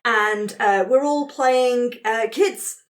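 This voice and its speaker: A woman's voice: on the high side, slow. A woman facilitating a tabletop roleplaying game, explaining the rules to a group of friends in casual chat.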